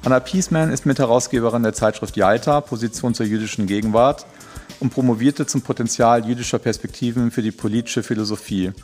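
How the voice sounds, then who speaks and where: A man's voice: mid-range voice, fairly quick, a little mellow, soft background music. A man hosting a podcast interview, introducing guests with many hesitant fillers. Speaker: podcast host.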